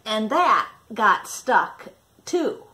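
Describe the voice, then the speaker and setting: A woman with a high voice reads a picture book aloud to children. Her pitch swings in a lively way as she leaves dramatic pauses and puts questions to her young listeners.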